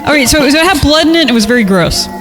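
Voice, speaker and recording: A man's voice: very high-pitched, lively intonation, fast. A man reading a creepy story aloud for comedy, giggly and often breaking off to riff. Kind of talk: comedic read-aloud of a horror story with banter. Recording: music behind the voice. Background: music.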